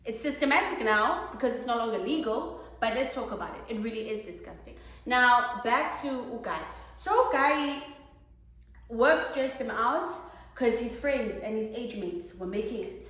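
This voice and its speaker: Lively South African woman host, echoey room. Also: on the high side, moderate pace. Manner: expressive, laughs often, mixes in isiXhosa words. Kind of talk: casual chat.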